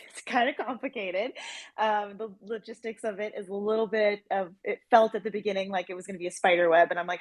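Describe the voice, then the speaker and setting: A woman's voice: mid-range voice, no room sound. Female interview guest, mid-range voice, dry sound. Chatty explainer, casual promotional talk. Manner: chatty, explanatory, peppers speech with "like". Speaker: interview guest, project team member.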